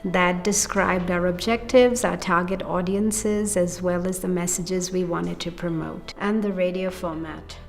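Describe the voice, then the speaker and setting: A woman's voice: lowish voice, gravelly. A woman explaining a project step by step, in the manner of a documentary interview. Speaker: project team member. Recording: music bed under speech. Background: music.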